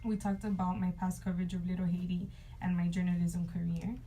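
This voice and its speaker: A woman's voice: lowish voice, flat delivery, fairly quick, warm tone, a little hiss or hum, low-quality recording. A woman hosting a talk show, speaking upbeat and conversational, with frequent ums and likes. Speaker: host.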